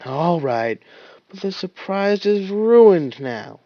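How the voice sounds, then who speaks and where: A man voicing an excitable, childlike young soldier in a fan story reading, with a high, eager, rambling delivery. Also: unhurried, no echo, highs cut off, exaggerated voice.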